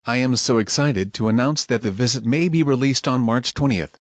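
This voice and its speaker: A man's voice: mid-range voice, fairly quick, no echo. A young man giving a scripted announcement to his viewers as a channel creator.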